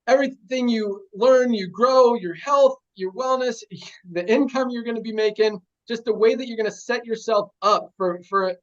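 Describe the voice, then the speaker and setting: High-voiced upbeat man hosting a call. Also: highs cut off. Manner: upbeat, emphatic. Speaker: call host. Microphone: video call.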